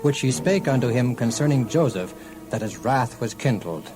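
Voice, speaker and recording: A man's voice: mid-range voice, expressive, normal speed, natural voice. Man as solemn narrator over music. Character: solemn male scripture narrator. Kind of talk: solemn scripture narration, archaic wording. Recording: prominent music behind the voice.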